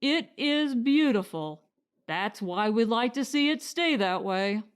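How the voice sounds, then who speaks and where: Principled male settler for audiobook female reader, pointed and firm, slightly high pitch, unhurried. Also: lively intonation, smooth. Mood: resolute. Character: principled, blunt husband and would-be settler. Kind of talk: audiobook character dialogue.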